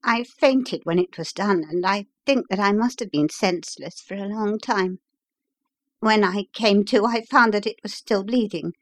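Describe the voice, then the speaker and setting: Storybook role: plucky, well-mannered young engineer, performed by a woman, excitable, lifted in pitch. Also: no echo, natural voice.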